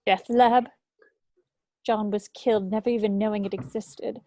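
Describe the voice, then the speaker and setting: A woman at a script table read playing a bitter, grieving woman scientist, speaking gravely and deliberately. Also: medium pitch, fairly even intonation, warm tone, dry recording, natural voice. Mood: grim explaining.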